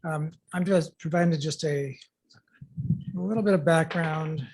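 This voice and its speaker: A soft-voiced man, a financial advisor, giving an easygoing presentation to a board. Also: on the high side, unhurried, rough recording.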